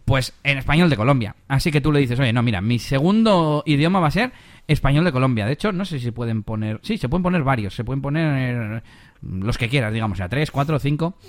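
A man chats casually as a podcast co-host. He explains with easy rhetorical questions at a middling pitch. His voice is dry and close, with no room sound. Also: brisk, no echo. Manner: relaxed, conversational, asks rhetorical questions. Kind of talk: casual tech podcast chat.

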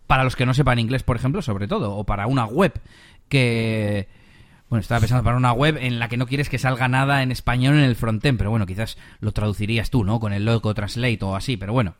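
A man chats casually as a podcast co-host. He explains with easy rhetorical questions at a middling pitch. His voice is dry and close, with no room sound. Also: fast, no room sound. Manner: relaxed, conversational, asks rhetorical questions. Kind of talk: casual tech podcast chat.

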